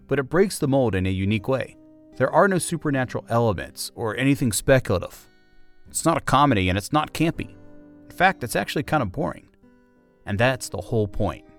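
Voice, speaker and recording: A man hosting a podcast, delivering scripted documentary-style narration. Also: medium pitch.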